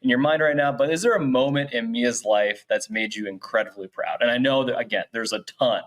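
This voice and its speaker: A man's voice: medium pitch, fairly quick, a bit bright. A man hosting a casual interview, speaking in an effusive, affirming way. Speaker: interviewer, host.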